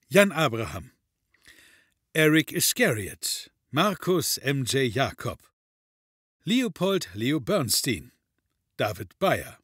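A man narrating audio drama credits in a scripted announcer's delivery, with a husky voice. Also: fairly high pitch, a bit bright, no room sound, clean studio sound.

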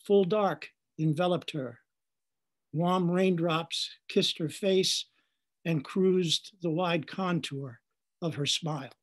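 A man giving a measured literary reading in short deliberate phrases at an online open mic. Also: a little high. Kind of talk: literary reading, descriptive narrative.

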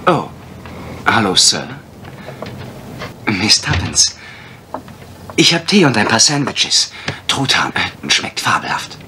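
A man's voice: fairly low pitch, very animated, slow pace, long pauses, pushed and bright, heavily acted. A man playing a dreamy, cheeky, enthusiastic young assistant in a German radio crime drama. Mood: cheerful, eager. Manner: enthusiastic, theatrical. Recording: slightly noisy old radio play recording.

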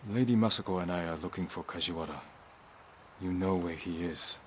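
An actor playing a resolute samurai lord in a video game, speaking in a grave, measured, firm way. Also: male voice, low voice, a little hiss or hum, lightly acted. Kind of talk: restrained dramatic game dialogue. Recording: dialogue over loud game ambience.

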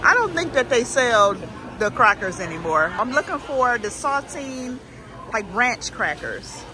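A woman's voice: a little high, big pitch swings, a little slow, poor audio. An American woman chatting casually and informally as she films a family vlog on a handheld camera. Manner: informal, colloquial. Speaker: family vlogger, mother. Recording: background music, busy public chatter.

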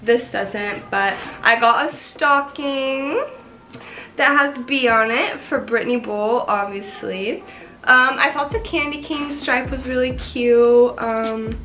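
Bubbly twenties vlogger, a bit high, stuffy from a cold, talking to camera in her apartment. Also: pushed and bright. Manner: bubbly, chatty, swears casually. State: congested from a cold. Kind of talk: casual vlog chat to camera. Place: small apartment bedroom, indoors. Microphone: camera in front, close framing.

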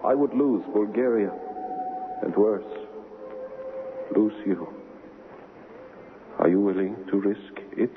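An actor playing an idealistic, lovestruck young prince in a radio drama, earnest, romantic and formal. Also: male voice, on the low side, takes it slowly, long pauses. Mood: ardent, grave. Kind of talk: 1970s radio drama acting. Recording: tinny, noisy 1970s radio broadcast.

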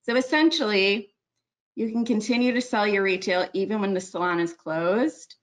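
A woman's voice: medium pitch, moderate pace. Chatty woman presenting a webinar. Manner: chatty, self-correcting, many ums. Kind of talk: screen-share webinar walkthrough.

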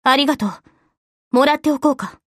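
A woman plays a stern, tomboyish anime game warrior girl. Her voice is female, composed and lower than the squeaky norm, in a dry recording. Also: brisk, no room sound, lightly acted. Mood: stern, appreciative. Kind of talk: Japanese anime game voice acting.

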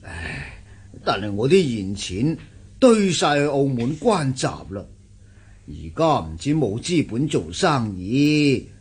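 A troubled middle-aged investor in a Cantonese radio play. His husky voice rises and sags with fretful sighs and hesitations, in a Hong Kong accent. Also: mid-range voice, sing-song, slow pace, darkish tone, heavily acted. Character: worried, sighing middle-aged investor fallen into debt. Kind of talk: radio drama acting.

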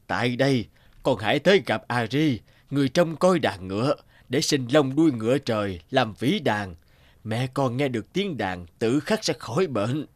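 A man's voice: medium pitch, animated, moderate pace, lightly acted. An actor playing a kindly, solemn male god giving advice in a cartoon. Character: wise benevolent male god.

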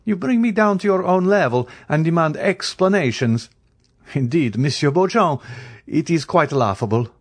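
A man's voice: a little high, animated, moderate pace, voice pushed up. A man voicing a proud, mocking, coldly menacing aristocratic count in an audiobook, in a haughty, formal, declamatory manner.

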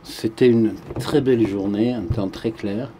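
Dark-voiced man interpreting steadily. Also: on the low side, steady tone, measured pace. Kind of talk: simultaneous interpreting.

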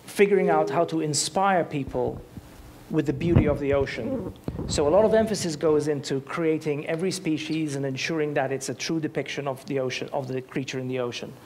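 A man in his forties giving an enthusiastic, conversational talk on a dark auditorium stage with a headset mic. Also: a little high, noisy background. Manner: enthusiastic, conversational, gestures with both hands. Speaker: conference speaker.

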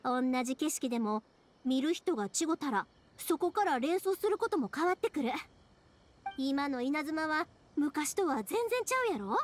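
A woman's voice: high-pitched, normal speed. A woman playing a cheerful, chatty young fireworks craftswoman with a Kansai accent in an anime game. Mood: earnest, hopeful. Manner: peppy, animated, talkative. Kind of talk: Japanese anime video game voice acting. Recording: background music behind dialogue. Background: game soundtrack music.

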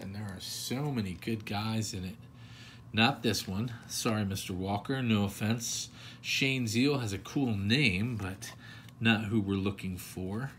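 A man with a fairly low voice chats through a baseball card opening. He rambles in self-deprecating asides while he sorts cards at a table. A faint hum of room ambience sits behind him. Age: older adult. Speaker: card collector channel host. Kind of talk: casual pack-opening commentary. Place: indoors at a table.

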